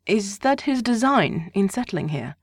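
A woman reading a wry, calm older gentleman. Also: medium pitch, a little slow, slightly rough, dry recording, clean studio sound, full character voice. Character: dry, teasing, unruffled older gentleman.

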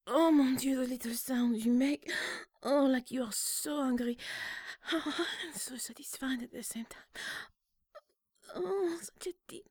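A woman speaks in an unhurried, breathy whisper full of soft sighs, slipping French endearments into her English. Her voice sits high, and the sound is dry, with no room around it. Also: no echo. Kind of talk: whispered intimate roleplay audio.

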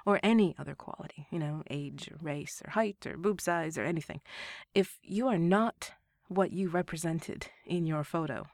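A woman with a low, gravelly voice hosts her own solo podcast, sounding stuffed up from a cold. She talks in a candid, conversational way, with the odd laugh. The sound is close and dry, with no room around it. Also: a little mellow, dry recording. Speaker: podcast host.

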